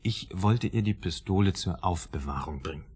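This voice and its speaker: Low, husky, tormented man. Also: expressive, normal speed, darkish tone. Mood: agitated. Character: troubled, earnest male writer tormented by guilt. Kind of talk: German radio drama acting.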